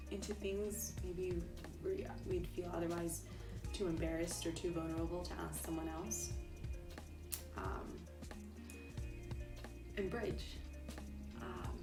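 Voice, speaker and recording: A young woman talks in a soft, reflective way, her voice sitting slightly low. She is set back from the mic in a roomy space. Clear music plays behind her. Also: recorded from a distance. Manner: soft-spoken, thoughtful, many ums and you-knows. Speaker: tarot reader and interviewee. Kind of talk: reflective personal talk.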